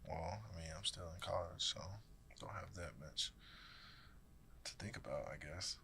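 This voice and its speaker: A young man, a college football player talking casually as an interview guest, relaxed and informal. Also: deep voice, moderate pace, creaky, slight background noise. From Georgia, US. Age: 20s. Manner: laid-back, informal dialect speech.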